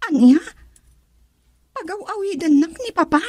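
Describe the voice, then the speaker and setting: An actress with a Filipino accent playing a devoted young daughter and sweetheart in a radio drama, expressive and earnest. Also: female voice, very high-pitched, normal speed. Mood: worried, upset.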